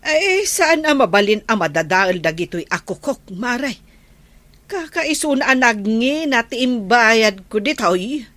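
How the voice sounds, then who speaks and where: A nosy village woman voiced by an actress in an Ilocano Filipino audio comedy. She speaks slowly in a highish voice with very animated intonation. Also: no room sound. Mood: self-satisfied.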